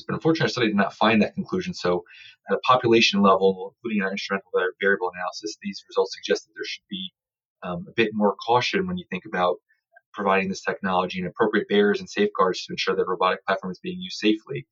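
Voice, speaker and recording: A man's voice: deep voice, steady tone, very fast, a bit bright, husky, muffled recording. A man explaining research in an interview with formal, careful, technical phrasing. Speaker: surgical researcher interviewed as study author.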